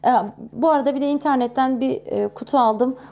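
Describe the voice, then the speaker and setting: A woman in her thirties talking casually to camera in a chatty, expressive way, showing off nostalgic things. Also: sits high, fairly quick, vocal fry, muffled recording. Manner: chatty and expressive, with frequent exclamations. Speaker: vlogger.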